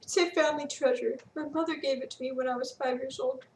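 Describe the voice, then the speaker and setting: A woman's voice: high-pitched, fairly even intonation, fast, a little hiss or hum. Woman voicing a feisty young girl. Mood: gentle. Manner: defiant, yelling. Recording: roomy echo, distant mic.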